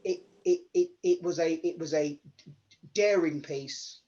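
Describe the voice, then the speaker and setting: A man speaking as an actor in an informal, heartfelt panel Q&A, effusive and halting as he repeats words. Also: fairly high pitch, warm tone. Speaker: actor in a post-show Q&A. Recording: dull, lo-fi sound.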